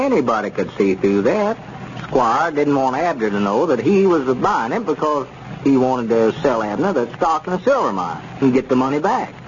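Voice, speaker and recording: A man playing a sensible, suspicious small-town friend in a 1930s radio serial, with a folksy, measured way of reasoning. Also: medium pitch, natural voice. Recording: muffled, noisy 1930s radio recording.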